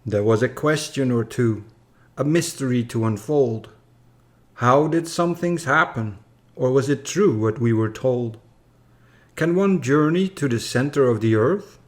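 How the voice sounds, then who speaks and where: A man with a medium-pitched voice recites a story in verse. He reads slowly and formally, in the measured style of scripted narration. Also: a little mellow. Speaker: reader of a poem.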